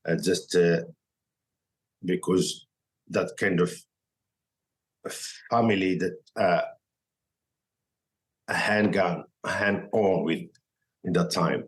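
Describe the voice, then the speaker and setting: A man from Morocco with a Moroccan accent and Arabic as his first language, telling his story as a podcast guest in halting, filler-filled English. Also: low voice. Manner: halting non-native English, many fillers and restarts. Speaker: guest chef. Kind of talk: casual podcast interview.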